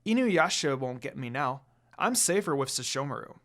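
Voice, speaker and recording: A narrator voicing a gentle young woman, a priestess who speaks hesitantly and kindly. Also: mid-range voice, lively intonation, normal speed, lightly acted. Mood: reassuring.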